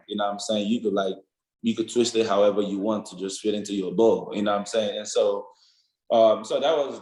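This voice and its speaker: A man's voice: lowish voice, a little mellow, roomy sound, poor audio. A young man with a Nigerian accent, answering interview questions in an earnest, rambling way, often adding "you know what I'm saying". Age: young adult. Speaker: football player guest.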